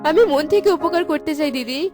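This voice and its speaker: A very high, tearful village wife acted by a woman from a cartoon tale. She pleads and repeats herself with desperate, repentant feeling. Music runs underneath. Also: quick pace, natural voice. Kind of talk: melodramatic Bengali cartoon voice acting. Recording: loud background music.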